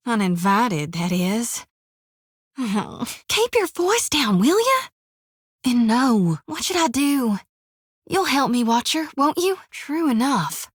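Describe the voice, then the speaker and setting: Xoti, a folksy young priestess. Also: female voice, on the high side, no echo, clean studio sound. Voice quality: folksy country turns of phrase. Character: young woman priestess, earnest and lovesick. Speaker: video game character. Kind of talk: video game voice acting.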